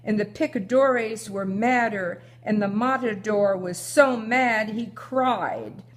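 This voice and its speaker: Slow-reading older woman. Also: mid-range voice. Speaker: mother reading a picture book aloud. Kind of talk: slow read-aloud of a children's story.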